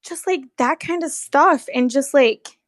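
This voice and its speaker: A woman's voice: sits high, measured pace, dry recording, clean studio sound. A young woman telling stories in a casual, gossipy way, with slangy, sweary speech peppered with "like". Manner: sweary, slangy, heavy use of "like". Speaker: former theme park character performer telling stories.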